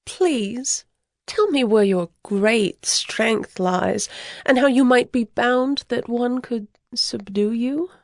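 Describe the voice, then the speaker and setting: Biblical temptress, high voice, slow, coaxing, theatrical, audio drama. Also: female voice, no room sound, clean studio sound. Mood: coaxing, reproachful. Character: Delilah, coaxing seductive Philistine-era temptress. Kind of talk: dramatized scripture acting.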